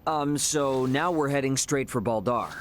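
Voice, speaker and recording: A man's voice: mid-range voice, a little slow, a little hiss or hum, close to an everyday voice. An actor playing an earnest young male adventurer in a video game, plain-spoken and casual. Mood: determined.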